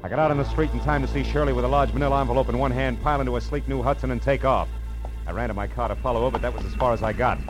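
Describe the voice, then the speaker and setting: An actor playing a wry, tough private detective, clipped and world-weary, in a 1940s radio drama. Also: male voice, medium pitch, steady tone, racing, natural voice, poor audio. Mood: wry, narrating. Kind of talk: 1940s radio drama acting and noir narration. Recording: vintage radio recording with music.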